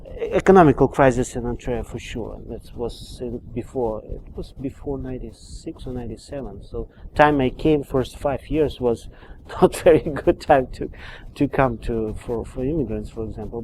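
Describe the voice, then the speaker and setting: A man's voice: medium pitch, mellow, a little hiss or hum, rough recording. A middle-aged man from Moldova with a Moldovan accent, speaking in a hesitant, filler-heavy way with frequent restarts, answering questions in an oral history interview. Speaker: immigrant interviewee.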